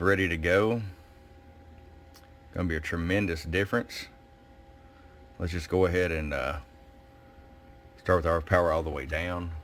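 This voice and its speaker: A man's voice: low voice, fairly bright tone. A man giving a casual, plain-spoken hands-on demonstration as a radio technician.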